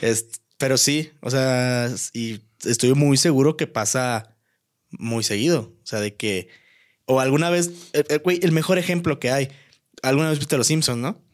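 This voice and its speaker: A man's voice: mid-range voice, moderate pace. A young adult man with a Mexican accent, co-hosting a podcast in casual, colloquial talk with plenty of slang. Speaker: podcast co-host. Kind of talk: casual chat.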